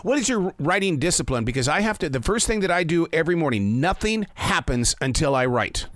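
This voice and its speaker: A man with a Midwestern accent hosts the chat, enthusiastic and animated. His voice sits a little high, recorded dry and close. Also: a little fast, no echo. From Montana. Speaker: host. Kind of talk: casual interview chat.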